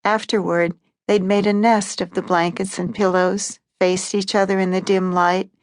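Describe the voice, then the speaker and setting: Calm woman narrating literary fiction. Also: medium pitch, normal speed, no room sound. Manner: calm, even storytelling. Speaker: audiobook narrator.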